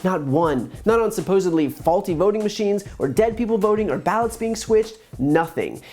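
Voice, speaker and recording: An American man in his thirties delivers political commentary. His voice sits fairly high for a man and is soft-edged and mellow, while his scripted delivery is emphatic with sarcastic asides. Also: lively intonation, normal speed, faint music behind. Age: 30s. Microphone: lapel mic.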